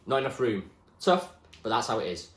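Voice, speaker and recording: A man voicing a calm, blunt and ruthless young gang leader in a storybook, with a commanding manner. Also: mid-range voice, a little fast, reverberant, natural voice.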